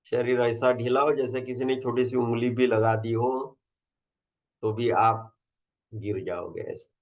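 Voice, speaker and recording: A man with an Indian accent leading a guided meditation in a calm, unhurried, soothing way, repeating phrases with long pauses. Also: mid-range voice.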